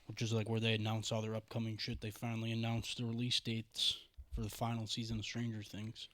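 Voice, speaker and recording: A young man with a Western New York accent co-hosting a casual podcast, loud, profane and jokey, sometimes singing snatches of songs. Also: fairly low pitch, completely flat delivery, brisk, no room sound. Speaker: podcast co-host. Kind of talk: casual banter.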